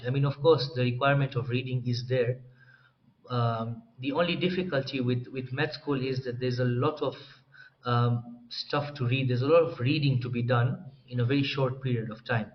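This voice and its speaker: A young man from Tanzania, a doctor training in psychiatry, explaining his career path as an interview guest in a relaxed way. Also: mid-range voice. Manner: explanatory, relaxed, clears throat often. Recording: slightly dull, faint room noise.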